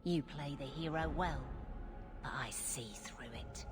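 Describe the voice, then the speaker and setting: A woman's voice: deep voice, a little slow, noisy background. An actress playing a crazed, menacing mother figure in a fantasy video game. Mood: scornful.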